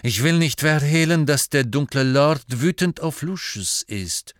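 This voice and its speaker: A man voicing a guarded, commanding wizard in an audiobook, with a measured, aloof and emphatic manner. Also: fairly high pitch, animated, no room sound, studio quality, voice pushed up.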